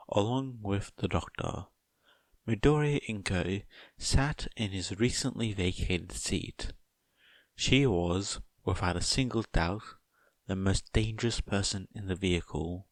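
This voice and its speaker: A man narrating scripted fiction as an audiobook reader, in a measured, dry manner. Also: low voice, no echo.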